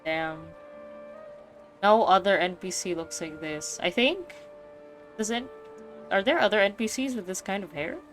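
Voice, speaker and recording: A woman's voice: medium pitch, measured pace, pauses often, fairly bright tone, faint music behind. A young adult woman giving casual, slangy reaction commentary while playing a game. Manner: informal, slangy asides. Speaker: game streamer.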